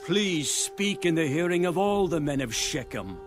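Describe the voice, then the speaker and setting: An actor playing an ambitious man appealing persuasively to his kinsmen with measured oratory in a dramatized scripture reading. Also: on the high side, animated, music in the background, lightly acted. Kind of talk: dramatized audio Bible acting.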